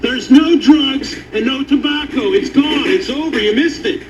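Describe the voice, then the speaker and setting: Actor as an exasperated, very high-voiced smuggler. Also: male voice, a little slow, over music. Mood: exasperated, defiant. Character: defiant, exasperated, foul-mouthed male smuggler. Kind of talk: comedic screen dialogue. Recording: echoey, muffled, distant.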